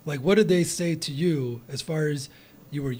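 A man hosting a casual interview podcast, excitable and rambling, often restarting his sentences. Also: on the high side, moderate pace. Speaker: podcast host.

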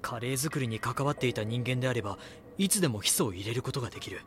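A man's voice: mid-range voice, animated, fast talker, a little hiss or hum, natural voice. An actor playing a young, earnest magazine reporter in an audio drama, politely probing with his questions. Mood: intense, brooding. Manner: polite, deferential when questioning.

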